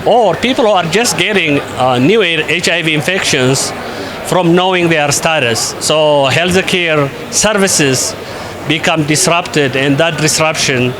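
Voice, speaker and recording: A man from Ethiopia speaks as an invited conference speaker, measured and careful, pausing often with fillers. His voice sits a little high for a man. Traffic and street noise run clearly behind him outdoors. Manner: measured, with many hesitations and fillers. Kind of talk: interview answers.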